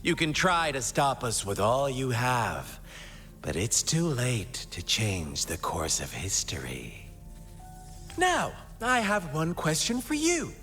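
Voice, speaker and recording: An actor playing a sinister, mocking cult overseer in a video game, speaking in a theatrical, smugly courteous way. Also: male voice, medium pitch, wildly swooping pitch, slow, pushed and bright, big-room sound, soft background music, full character voice. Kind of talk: dramatic video game voice acting.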